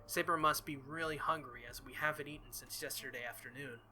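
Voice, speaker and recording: A man doing animated, exclamatory playthrough commentary while reading a visual novel aloud. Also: mid-range voice, fairly quick, faint music behind.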